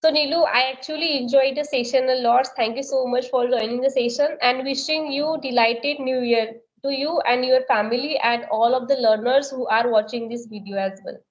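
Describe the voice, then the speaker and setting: High-voiced Indian woman tutor. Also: steady tone, highs cut off. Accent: Indian English. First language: Marathi. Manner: slow, careful, repeats questions. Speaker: English tutor. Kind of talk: conversation practice session.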